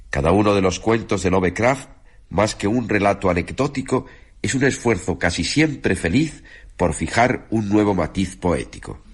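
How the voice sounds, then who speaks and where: A man giving a solemn, declamatory literary reading for radio in a husky voice. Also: low-pitched. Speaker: radio reader.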